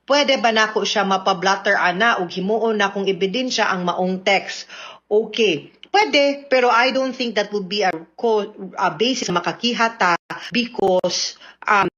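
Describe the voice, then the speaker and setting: A Cebuano Filipino woman speaks as a radio legal adviser, explaining things conversationally and checking in with tag questions. Her voice sits at a middle pitch. The sound is a little dull, as on a lo-fi broadcast. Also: a bit bright. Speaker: radio legal advice host, attorney. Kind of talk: spoken legal advice to listeners, mixing in English. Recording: slightly dull radio recording.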